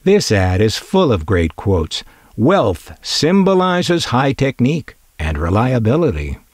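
A man's voice: mid-range voice, big pitch swings, slow pace, no echo. A man narrating a scripted collector's video in a wry, opinionated way with dry humor.

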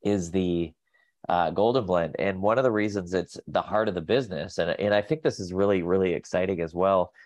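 Man, 30s, nasal, sick with a cold, very low voice, rambling guest, video call. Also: fairly quick, darkish tone, no echo. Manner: rambling, many fillers and restarts. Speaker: guest, pet nutrition company staff. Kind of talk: casual video call interview. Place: home office.

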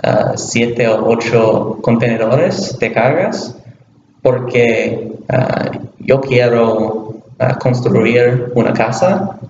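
A young university student speaking slowly and haltingly in a language he is learning, with many pauses and restarts, recorded in a small bare room. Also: mid-range voice, creaky, darkish tone, slight background noise. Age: young adult. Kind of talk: scripted class conversation in a learned language. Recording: roomy, dull webcam audio.

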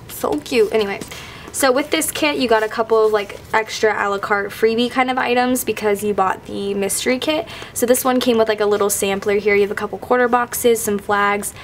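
A young woman chats eagerly through a tabletop haul, piling on fillers and gushing over every item. Her voice is a little worn from a recent illness, set at a middle pitch. A faint noise sits under the recording. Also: steady tone. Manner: gushing, fast filler-heavy enthusiasm. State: recovering from illness, losing her voice. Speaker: planner sticker haul vlogger. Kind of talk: casual haul show-and-tell. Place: indoors at a table, hands-only overhead camera.

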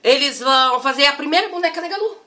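A woman's voice: high voice, animated, fast talker, background noise. A young woman, a radio guest who talks in giggly, effusive exclamations. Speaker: guest, snack bar owner. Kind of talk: casual radio interview. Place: radio studio. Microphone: large studio mic.

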